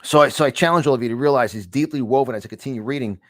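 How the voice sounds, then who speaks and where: Middle-aged New York man, host, preacher-like emphatic delivery, stumbles and repeats himself, mid-pitched voice, dry close sound. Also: rapid-fire, no room sound. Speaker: host of a conservative news channel. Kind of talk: motivational monologue.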